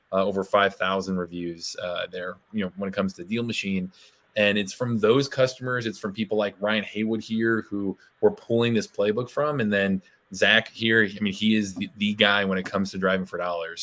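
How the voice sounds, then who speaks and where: A man co-hosting a live webinar, a little hoarse, with a promotional delivery full of fillers. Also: lowish voice, fairly bright tone. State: slightly hoarse. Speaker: co-host.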